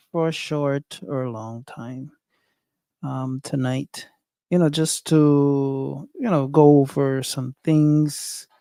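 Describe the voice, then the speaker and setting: Slow-talking Guyanese man on livestream. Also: fairly high pitch, warm tone, clear, resonant tone, dry recording, clean studio sound. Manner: thinking aloud with many fillers and restarts. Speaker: livestream host. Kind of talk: casual livestream talk.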